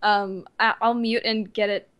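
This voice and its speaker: A nineteen-year-old woman chatting casually as an interview guest, with a giggly, animated way of telling stories. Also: medium pitch, measured pace, clean tone, highs cut off. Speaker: guest, amateur voice actor.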